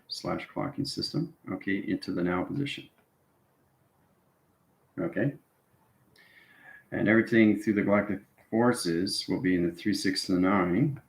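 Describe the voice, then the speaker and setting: A man giving an improvised esoteric lecture to viewers, instructing repetitively and often checking in with short tags like okay and right. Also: on the low side. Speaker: spiritual channel host giving instruction. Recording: roomy, echoing sound.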